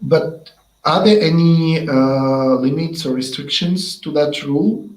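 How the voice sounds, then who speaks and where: Man interviewer, Russian native, slightly high, halting, echoey room. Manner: halting, searching for words. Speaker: interviewer from the language institute. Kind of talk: casual interview.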